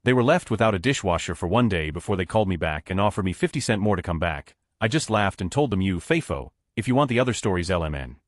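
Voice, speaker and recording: A man narrating online stories aloud in a brisk, matter-of-fact reading. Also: deep voice, expressive, no room sound, clean studio sound.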